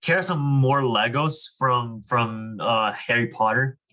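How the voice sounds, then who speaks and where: A man's voice: medium pitch, fairly even intonation, slow, bright, forceful tone. A young man casually explaining and reading aloud to children as a reading club leader, with an informal way of talking peppered with "like" and "you know".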